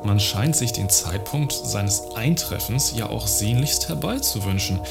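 An actor playing a gloomy, portentous doomsayer in a radio play, delivering rhetorical laments. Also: male voice, lowish voice, animated, measured pace, creaky. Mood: ominous. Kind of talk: scripted radio play dialogue. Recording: music behind the dialogue.

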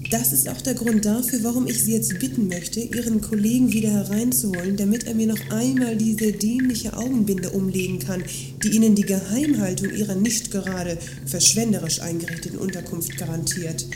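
An actress playing a condescending, talkative magazine journalist in a scripted radio play, speaking in long, pompous sentences. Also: female voice, medium pitch, background noise, natural voice. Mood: dismissive. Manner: pompous, wordy, haughty. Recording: music behind the dialogue.

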